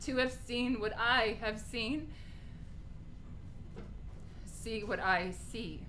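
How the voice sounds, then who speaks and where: A high, bright voice of an actress plays a gentle young noblewoman in heartbreak. The lines are lamented slowly as verse in a classical radio drama. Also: slight background noise, full character voice, poor audio. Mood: grieving. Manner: slow, lamenting verse delivery. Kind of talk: Shakespearean radio play acting.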